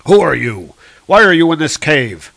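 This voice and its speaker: A man's voice: medium pitch, normal speed, pushed and bright. A man voicing a proud, grave warrior god of thunder with declamatory, heroic speech for a comic-book story. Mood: defiant.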